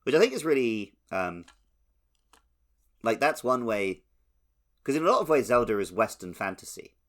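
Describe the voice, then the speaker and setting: A man's voice: medium pitch, sing-song, moderate pace, fairly bright tone. A man co-hosting a podcast, talking casually about video games. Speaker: podcast co-host.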